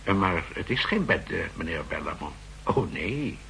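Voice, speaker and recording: An actor playing a fussy, insistent central-bank expert who explains pedantically, in 1960s radio drama style. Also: male voice, deep voice, very animated, a little slow, vocal fry, bright, forceful tone, full character voice. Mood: earnest, explaining. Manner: pedantic, emphatic, hesitating and repeating himself. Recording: noisy vintage 1960s radio recording.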